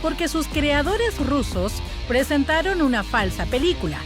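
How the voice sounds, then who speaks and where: Lively high-pitched woman narrator over music. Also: moderate pace. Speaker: video narrator. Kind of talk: scripted explainer narration.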